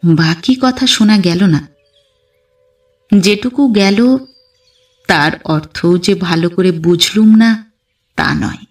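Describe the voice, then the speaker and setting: A woman reading a literary story in the first person, with measured, expressive delivery as an audio story narrator. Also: lowish voice, recorded from a distance, faint music behind.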